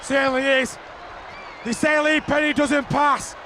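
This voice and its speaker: Excitable high-voiced rugby commentator. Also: male voice, a little slow. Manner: shouting excitedly over the action. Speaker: play-by-play commentator. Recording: archive broadcast with crowd noise.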